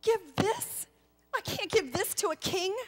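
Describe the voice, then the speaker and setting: A woman's voice: extremely high pitch, expressive, measured pace, long reverb tail, pitched above the performer's own voice. A woman storyteller voicing a proud, wealthy male wise man with an emphatic, declamatory manner. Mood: shocked, protesting.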